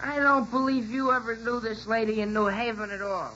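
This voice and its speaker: High, sharp-voiced drunken teenage boy. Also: flat delivery, brisk. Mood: defiant. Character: naive, hot-headed drunken teenage boy, poetic and defiant. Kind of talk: old-time radio acting. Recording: muffled old radio recording, faint hiss.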